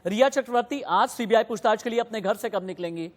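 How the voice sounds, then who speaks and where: A man's voice: high-pitched, fast talker, long reverb tail, slight background noise. A man anchoring live news, putting questions and instructions to reporters and guests in an insistent, emphatic way.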